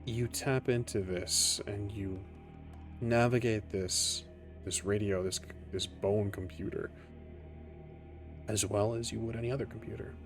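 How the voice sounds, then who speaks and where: Low-voiced man running a tabletop game. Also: steady tone, unhurried. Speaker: game master. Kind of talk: improvised tabletop game narration. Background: faint music.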